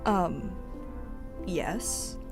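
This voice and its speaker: A woman as a slow, prickly junior clerk. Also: lowish voice, faint music behind. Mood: nervous. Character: prickly, diligent female paperwork-bound junior agent. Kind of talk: scripted audio drama acting.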